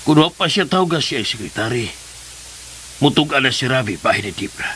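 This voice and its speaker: A man's voice: medium pitch, expressive, normal speed, fairly bright tone, a little hiss or hum, natural voice. A man with a Cebuano accent playing an earnest, probing middle-aged father in a radio drama. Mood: calm, assured. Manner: insistent, questioning. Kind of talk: Filipino radio drama acting. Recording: music under the dialogue.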